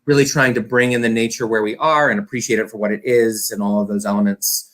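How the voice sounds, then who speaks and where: A man's voice: lowish voice, fairly even intonation, quick pace, fairly bright tone. A man speaking as an interview guest, a community theater director, chatting casually and explaining things with plenty of filler words.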